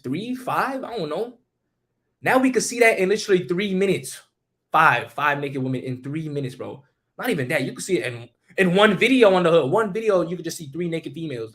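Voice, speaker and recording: A young man on a livestream, rambling in a casual, slangy monologue and talking straight to his chat. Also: fairly high pitch. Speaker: streamer.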